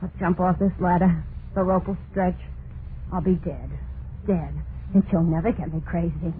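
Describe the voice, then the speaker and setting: An actress playing a terrified, unhinged wife in an old-time radio horror monologue, halting and overwrought, full of guilt and dread. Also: female voice, fairly low pitch, steady tone, close to an everyday voice. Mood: fearful despair. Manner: halting, overwrought, drawn-out dramatic delivery. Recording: noisy narrow-band vintage radio recording.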